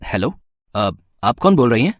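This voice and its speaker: A man's voice: medium pitch, big pitch swings, no room sound. A man playing a hesitant, easily flustered married man in a dramatized audio story. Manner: halting, bashful, with stammers.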